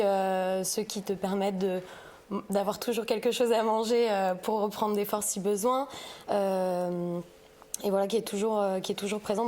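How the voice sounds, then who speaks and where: A woman speaking casually as a podcast guest, pausing often as she tells about her work. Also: medium pitch, darkish tone. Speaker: podcast guest, audiovisual sustainability consultant. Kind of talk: casual interview storytelling.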